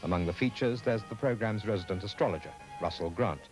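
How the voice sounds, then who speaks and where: A man's voice: fairly low pitch, quick pace, a little mellow, faint music behind, low-quality recording. A British man reading scripted narration as a television reporter.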